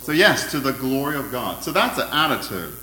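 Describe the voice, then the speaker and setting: A man preaching an interactive sermon, asking his congregation questions in a loud, friendly voice. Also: medium pitch, sing-song, fairly quick, echoey room, a little hiss or hum, poor audio. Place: church hall.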